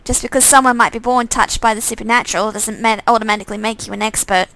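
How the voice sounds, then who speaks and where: A woman's voice: fairly high pitch, fairly even intonation, fast talker, creaky, a bit bright. A woman voicing an easygoing young man in a visual novel, with a casual, offhand manner.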